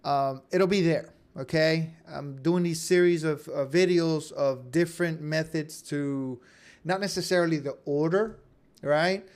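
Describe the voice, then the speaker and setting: Male online course instructor, voice a touch high, conversational tutorial delivery with frequent check-ins. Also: measured pace, darkish tone. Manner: conversational, repeatedly checks in with "okay?" and "right?". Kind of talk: informal screen-share tutorial.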